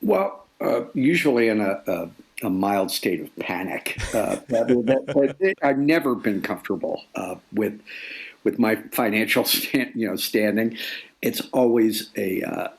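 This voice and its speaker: A man in his sixties talking as an interview guest, halting often and repeating words as he thinks aloud. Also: lowish voice, expressive, gravelly. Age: 60s. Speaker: guest author. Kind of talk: reflective interview conversation.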